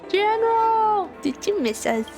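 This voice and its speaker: A woman streamer chatting casually and excitably as she reacts to a game. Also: extremely high pitch, measured pace, music in the background.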